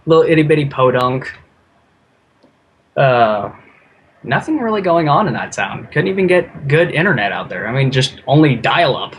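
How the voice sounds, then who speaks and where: A man's voice: mid-range voice, very animated, normal speed, slight background noise. A man talking casually as a podcast guest, in an informal, rambling way. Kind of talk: casual chat.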